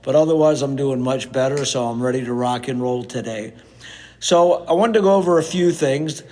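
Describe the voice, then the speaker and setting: A middle-aged man hosting his own podcast in a casual, conversational way, sounding a little hoarse and nasal from a cold. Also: medium pitch, moderate pace, a little mellow. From United States. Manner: conversational, with frequent fillers. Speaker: podcast host, real estate agent. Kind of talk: casual solo podcast talk.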